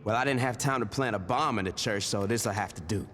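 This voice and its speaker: A man's voice: lowish voice, fairly quick, background noise, close to an everyday voice. A man playing a ruthless, defiant street gang boss in a video game cutscene, blunt, profane and threatening. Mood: sardonic menace.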